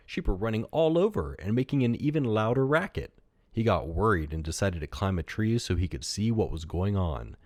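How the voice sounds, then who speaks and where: Low-voiced man reading a children's story. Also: darkish tone, dry recording. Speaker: storyteller, podcast host. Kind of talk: read-aloud children's story narration.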